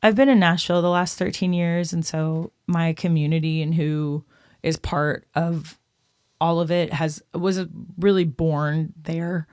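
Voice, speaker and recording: A talkative woman in her thirties from Texas, speaking as a podcast guest. She rambles warmly through stories with lots of "like"s and little laughs. The sound is dry and close, with no room behind her. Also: deep voice, unhurried, smooth, no echo. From Austin, Texas. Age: 30s. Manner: chatty, lively, laughs often, says "like" a lot. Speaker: guest musician. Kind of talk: casual podcast interview.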